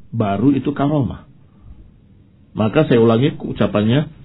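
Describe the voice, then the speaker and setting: A man's voice: mid-range voice, slightly rough, lo-fi sound. A man giving an Islamic sermon in a didactic, emphatic way, repeating key points and posing questions that he answers himself. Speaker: Islamic preacher. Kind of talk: sermon, religious lecture.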